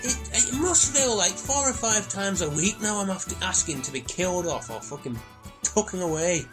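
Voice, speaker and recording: A man's voice: high-pitched, lively intonation, moderate pace, over music, low-quality recording. A man playing a fed-up, miserable, foul-mouthed castaway in a comedy radio play, grumbling and exasperated.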